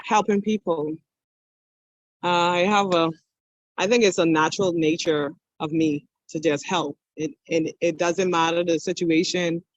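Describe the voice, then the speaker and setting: Woman, 20s, podcast guest; slow, candid and halting; dry, lo-fi remote-call sound. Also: lowish voice, fairly even intonation, no room sound. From Bahamas. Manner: hesitant and candid, with many pauses. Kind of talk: personal storytelling in conversation.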